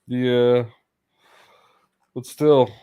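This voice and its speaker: A man chatting casually, halting and stumbling over words, often laughing. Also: mid-range voice, flat delivery, dark tone, clear, resonant tone. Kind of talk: casual chat.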